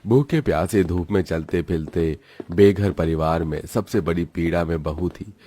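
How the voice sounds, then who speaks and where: Very deep male story narrator. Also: measured pace, dark tone, a little hiss or hum. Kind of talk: dramatic scripted story narration. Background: faint music.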